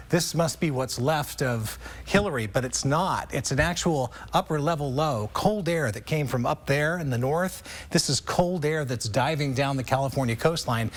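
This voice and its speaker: A man with an American accent presenting a TV weather forecast in an explanatory, conversational way. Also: mid-range voice. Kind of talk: ad-libbed broadcast weather forecast.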